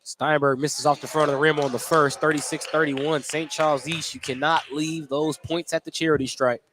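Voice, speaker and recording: Male, higher-pitched voice, radio basketball play-by-play, clipped descriptive calls, clear gym noise and bouncing ball. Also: little pitch movement, normal speed, fairly bright tone. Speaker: play-by-play announcer. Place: indoor basketball gym during a game.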